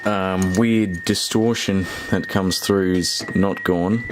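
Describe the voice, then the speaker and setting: Guy w/ a deep voice doing a casual repair vlog, kinda hesitant, thinking out loud, hum and noise behind him. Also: steady tone, slow pace. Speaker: host of a radio repair video. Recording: clear background noise with electrical hum.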